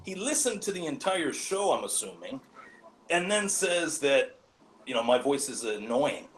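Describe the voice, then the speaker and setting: A man's voice: mid-range voice, very animated, a little slow, poor audio. A man talking as a guest on a casual sports podcast, cocky and playful, with faint noise behind him.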